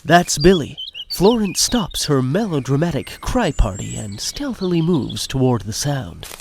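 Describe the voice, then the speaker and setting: A man's voice: a little high, sing-song, measured pace, vocal fry, darkish tone, slight background noise. A man narrating a scripted comic audio drama in a wry storytelling manner. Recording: music behind the voice.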